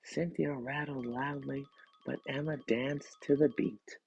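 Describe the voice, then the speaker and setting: A man reading a children's story aloud in an expressive storytelling style. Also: medium pitch, unhurried, gravelly, husky, soft background music. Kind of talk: expressive storybook narration, first person.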